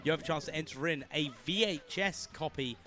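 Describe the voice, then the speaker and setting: A man's voice: on the high side, a little fast, sharp tone. A man co-commentating a live speedrun event, joking and bantering and reading out donation prizes. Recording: faint music behind.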